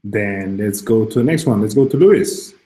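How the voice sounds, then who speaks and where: A man from Ecuador, a PhD student, introducing himself and passing the turn on a video call, slightly halting. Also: fairly low pitch, expressive, warm tone, rough recording. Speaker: PhD student and teaching assistant.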